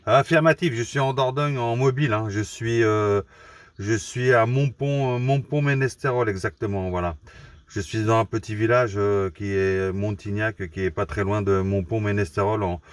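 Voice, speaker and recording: Male radio operator, mobile station, procedural and halting, a bit far from the microphone. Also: on the low side, fairly even intonation, no echo. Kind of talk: casual radio exchange. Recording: dry, distant-sounding radio microphone.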